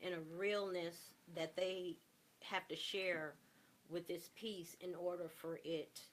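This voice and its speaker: A woman's voice: lowish voice, level tone, slow pace. A woman talking with impassioned emphasis as a guest in a panel interview, often repeating phrases to drive a point home. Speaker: stage play director, interview guest.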